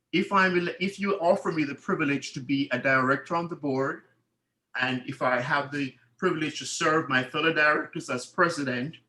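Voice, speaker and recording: A man's voice: on the high side, pushed and bright. A man giving an earnest, formal candidate speech at a residents' meeting, often restarting his phrases. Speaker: board candidate. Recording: echoey room.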